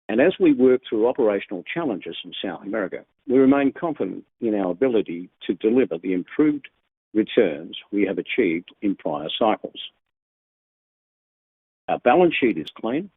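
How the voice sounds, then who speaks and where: Male executive, husky and lively, reading emphatic prepared remarks to investors over a narrow phone line. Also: on the low side, no echo. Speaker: company executive. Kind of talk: scripted earnings call remarks.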